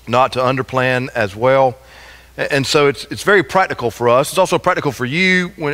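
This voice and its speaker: Male preacher, middle years, mid-pitched, talks conversationally with frequent hesitation, pulpit mic in a big echoing church. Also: a bit bright. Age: middle-aged. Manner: halting, conversational delivery. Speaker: pastor. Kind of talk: sermon. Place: large church sanctuary, at the pulpit. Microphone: pulpit gooseneck microphone. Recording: long church reverb.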